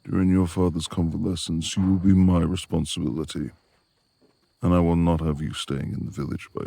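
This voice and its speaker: Man acting as very deep, gravelly, brooding manor lord. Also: mellow, heavily acted. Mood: stern, commanding. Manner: formal old-fashioned diction, imperious. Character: gruff reclusive manor lord, commanding beastly master with tender streak. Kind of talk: romantic ASMR audio roleplay.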